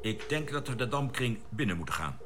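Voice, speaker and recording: A man acts as a commanding spaceship pilot in a science-fiction radio play. His delivery is terse and decisive, with wide swings of pitch. The recording is old and clearly noisy. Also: big pitch swings, a little fast, close to an everyday voice. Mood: calm, inquiring. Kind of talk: Dutch science-fiction radio drama acting.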